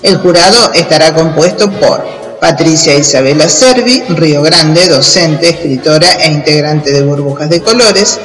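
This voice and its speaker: An Argentine man presenting a children's radio program, reading a scripted announcement deliberately, item by item. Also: fairly high pitch, a bit bright. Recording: long reverb with music underneath.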